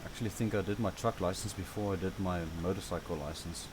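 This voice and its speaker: A deep-voiced man with a South African accent talks through a driving game in a loose, chatty way, with fillers and the odd grumble. An air-conditioner hum runs steadily underneath. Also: fast talker. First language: Afrikaans. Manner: relaxed, rambling and chatty, with fillers and light grumbling. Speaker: gaming channel host. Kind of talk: casual gameplay tutorial commentary.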